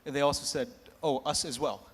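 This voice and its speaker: A man's voice: mid-range voice, fairly even intonation, brisk, breathy edge. A man, telling candid, self-mocking stories as a panelist at a conference. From United States. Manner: candid, self-mocking, laughs between lines. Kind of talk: candid anecdotal storytelling. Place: large conference hall. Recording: echoey hall reverb.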